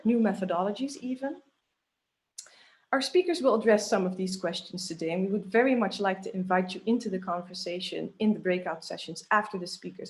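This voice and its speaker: Woman moderator reading an introduction. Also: mid-range voice. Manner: measured, listing questions. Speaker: webinar moderator. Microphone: video call.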